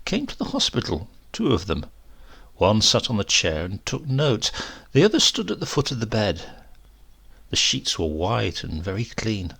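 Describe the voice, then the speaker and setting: A man reading a horror story as a shaken, rambling accident survivor, halting and conversational, brooding and prone to outbursts. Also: lowish voice, wildly swooping pitch, creaky, fairly bright tone, husky, heavily acted. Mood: haunted, confiding. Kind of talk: dramatic first-person horror story reading.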